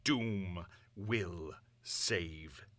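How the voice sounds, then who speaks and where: A man performing a grandiose supervillain dictator's monologue, pompous and deliberate, addressing the world in imperious, theatrical declamation. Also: sits low, fairly bright tone, no room sound, voice pushed down, full character voice. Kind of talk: dramatic villain monologue.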